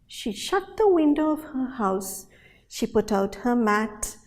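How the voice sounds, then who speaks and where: A woman storyteller telling a folktale in a dramatic, animated way, with deliberate pauses and repetition. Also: on the high side. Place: hall at a storytelling festival. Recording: reverberant hall sound.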